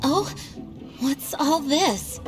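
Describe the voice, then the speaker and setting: A woman playing a composed, dutiful young knight leader in a video game, calm, polite and considerate. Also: high-pitched, very slow, music in the background, heavily acted. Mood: surprised.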